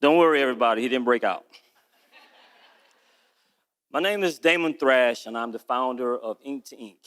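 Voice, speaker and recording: A man in his forties, founder of a nonprofit, giving a rehearsed pitch speech in an earnest, storytelling way, slowing down on key points. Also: fairly bright tone, clean tone. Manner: earnest storytelling with drawn-out emphasis. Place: stage in a large event hall. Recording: echoey hall.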